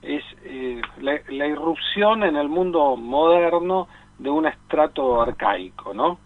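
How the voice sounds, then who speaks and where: A man with an Argentine accent giving halting, reflective conversational commentary as a film columnist, calling into a radio show. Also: fairly high pitch, lively intonation, dry recording. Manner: halting, reflective, repeats himself. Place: remote call into a radio studio. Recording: thin phone-line sound.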